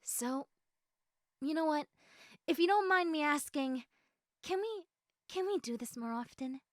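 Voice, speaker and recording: A woman performs an eager, socially awkward young woman in an intimate roleplay audio. Her voice is high and swings widely in pitch as she chats haltingly and excitably to the listener. The sound is very dry, with no room around it. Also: no echo. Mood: happy, earnest.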